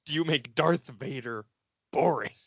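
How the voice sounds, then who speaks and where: A man talking casually as a podcast co-host, telling stories in an exasperated, animated way. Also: a little high, measured pace, breathy edge, no echo. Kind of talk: casual chat. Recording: dull lo-fi podcast audio, dry.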